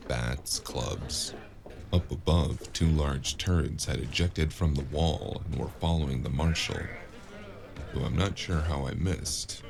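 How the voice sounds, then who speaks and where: Man voicing a very deep, weary noir narrator. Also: creaky, background noise, exaggerated voice. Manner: sardonic, world-weary, foul-mouthed. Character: wry, weary, mouthy cyberpunk noir male drifter narrating. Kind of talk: first-person noir audio drama narration. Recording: music behind the voice.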